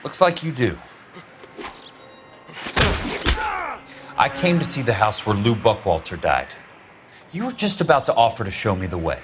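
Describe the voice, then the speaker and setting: A man's voice: on the low side, big pitch swings, a little fast, noisy background. A man acting a dogged, tough but polite insurance investigator in a crime game, with an American accent and a terse, wry delivery. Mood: tough, unruffled.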